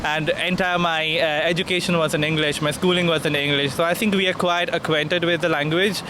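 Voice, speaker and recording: A man's voice: on the high side, steady tone, a little fast. A young man from India being interviewed outdoors, chatty, laughing often and hesitating with fillers. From India (Kolkata). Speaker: interviewee, MBA student. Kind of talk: casual street interview. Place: outdoors on a city street. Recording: street noise behind the voice.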